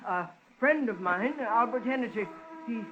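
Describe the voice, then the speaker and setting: An actor playing a frightened, meek shopkeeper with nervous, pleading delivery in a 1950s radio crime drama, on a dull old recording. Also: male voice, very high voice, moderate pace, a little hiss or hum. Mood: terrified, pleading. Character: frightened, meek, law-abiding male pawnshop owner.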